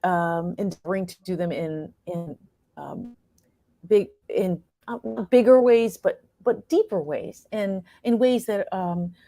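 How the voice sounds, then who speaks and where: A woman with a fairly low voice gives reflective answers in an interview. She speaks earnestly and haltingly, feeling her way toward the right word. The sound is very dry, with hardly any room on it. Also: dark tone, no room sound. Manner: hesitant, searching for words, earnest. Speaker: playwright and performer being interviewed.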